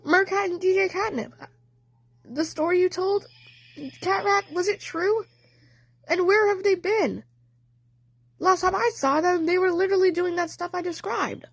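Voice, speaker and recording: A woman voicing an excitable, lovestruck cartoon girl in an audio play, with a gushing, exclaiming delivery. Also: extremely high pitch, measured pace, creaky, pauses often, no room sound, full character voice. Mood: shocked.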